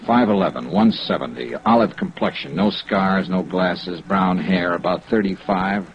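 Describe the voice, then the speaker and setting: An actor playing a cool, probing insurance investigator in an old radio drama, with terse, wry questions. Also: male voice, deep voice, normal speed. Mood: businesslike. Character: cool, probing male insurance investigator, hard-boiled and persistent. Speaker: insurance investigator lead and narrator. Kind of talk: old-time radio detective drama acting. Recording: dull old radio recording, rain noise.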